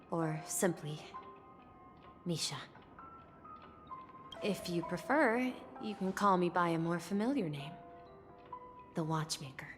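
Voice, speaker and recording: Young game boy, gentle, wistful, unhurried pace, earnest storytelling, long hall reverb. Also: medium pitch. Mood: solemn, gentle. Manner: measured, reflective, earnest storytelling. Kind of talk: video game voice acting.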